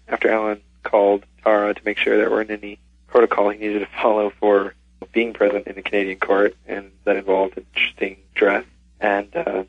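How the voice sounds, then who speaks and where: A man co-hosting a casual fan podcast in a low-key way, heard over a thin phone-like line. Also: gravelly, slightly rough, no echo. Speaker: podcast co-host. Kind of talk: casual fan discussion of a TV show.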